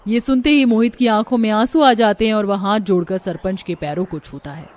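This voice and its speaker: A woman's voice: mid-range voice, brisk, darkish tone. Woman narrating a cartoon moral story.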